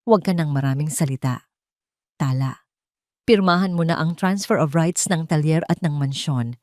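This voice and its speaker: A woman's voice: low-pitched, normal speed, darkish tone, no room sound, studio quality, close to an everyday voice. A woman playing a cruel older mother-in-law in an audio drama, commanding and menacing. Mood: commanding, threatening. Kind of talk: Tagalog audio drama dialogue.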